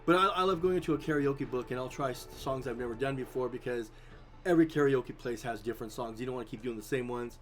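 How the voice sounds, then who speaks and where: A man's voice: medium pitch, fast, faint music behind. Easygoing middle-aged man, voice drying out. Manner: easygoing, rambling storyteller. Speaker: guest. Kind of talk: casual chat.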